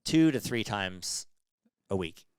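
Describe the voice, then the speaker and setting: A man's voice: fairly low pitch, lively intonation, slow, smooth, no room sound, studio quality. A man hosting a casual podcast chat, talking in a nasal voice and rambling with many restarts. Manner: rambling, self-interrupting, chatty. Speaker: podcast host.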